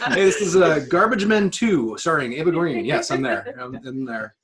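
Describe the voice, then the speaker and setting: A man co-hosting a podcast with casual banter and excitable, joking interjections. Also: on the high side, moderate pace, a crowd in the background. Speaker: podcast co-host.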